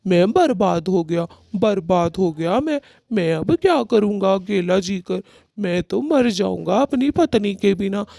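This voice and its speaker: Man voicing a mellow, melodramatic cartoon bird husband. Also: high voice, animated, a little slow, gravelly, no room sound, exaggerated voice. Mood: grieving. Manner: dramatic, reproachful. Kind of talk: Hindi cartoon story dialogue.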